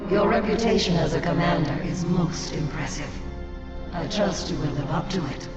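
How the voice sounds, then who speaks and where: An actress playing a proud, stern alien warrior commander in a video game, speaking formally and imperiously with an echoing effect. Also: female voice, on the low side, normal speed. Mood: stern, solemn. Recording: echoey, dull audio under loud music.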